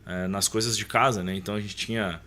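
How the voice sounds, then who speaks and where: A man's voice: lowish voice, steady tone, fast talker, bright, forceful tone. A man, reflectively telling personal recollections as a podcast guest. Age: 30s. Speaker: interview guest. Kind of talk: conversational podcast storytelling.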